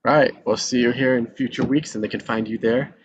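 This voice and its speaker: A man's voice: medium pitch, fairly even intonation, brisk. Muffled, noisy man hosting with encouragement. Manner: effusive, encouraging. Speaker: host of an online table read show. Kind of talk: casual group chat hosting. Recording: muffled video call audio, clear noise, clicks.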